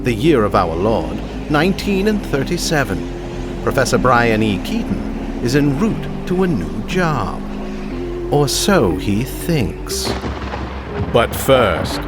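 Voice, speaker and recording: A man's voice: mid-range voice, big pitch swings, slow, over loud music. Man as swooping, grandiose 1930s radio announcer. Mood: portentous. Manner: grandiose, dramatic, formal. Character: portentous, genial male 1930s radio serial announcer. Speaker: narrator and host.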